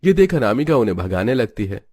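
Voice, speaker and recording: A man's voice: medium pitch, very animated, fairly quick, a little mellow. A man giving scripted narration of a story.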